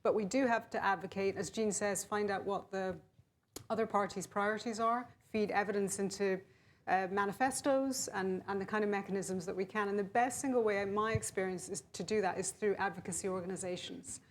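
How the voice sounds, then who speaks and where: A woman's voice: medium pitch, fairly even intonation, fairly quick, slight background noise. A woman on a research panel, giving frank, direct advice. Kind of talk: panel discussion answer.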